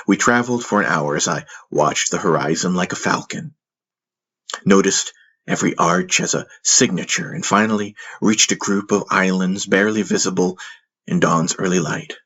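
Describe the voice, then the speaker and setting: A man narrating a fantasy audiobook in the first person, with solemn, dramatic storytelling and a husky voice. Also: low-pitched, a bit bright.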